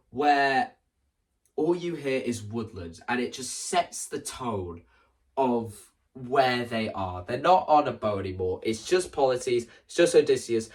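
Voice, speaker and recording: A man's voice: mid-range voice, distant mic. A man talking casually in an enthusiastic, rambling way, often interrupting himself as he explains his ideas. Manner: enthusiastic, rambling, self-interrupting, acts out quoted lines. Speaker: video creator. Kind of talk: casual explanatory vlog about staging a musical.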